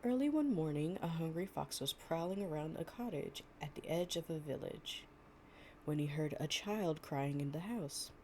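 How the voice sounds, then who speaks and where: A woman's voice: low voice, animated, moderate pace, darkish tone, a little hiss or hum. A young woman playing an affectionate, chatty girlfriend in an improvised, intimate storytime roleplay, with a casual, slangy and playful way of talking. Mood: gentle reading. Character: affectionate, chatty girlfriend reading fables to her partner.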